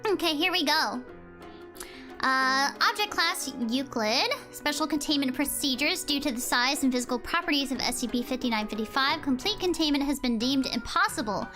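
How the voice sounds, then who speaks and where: Young female streamer, high pitched, slightly hoarse, reads aloud with stumbles, light music underneath. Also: expressive, moderate pace. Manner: chatty, giggly, stumbles over words while reading. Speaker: VTuber streamer. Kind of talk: live stream, reading aloud.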